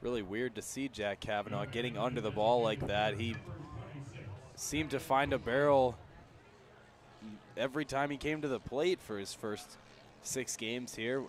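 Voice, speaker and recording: A man's voice: medium pitch, steady tone, unhurried, pauses often. A man giving live analytical color commentary on a baseball broadcast from an outdoor ballpark.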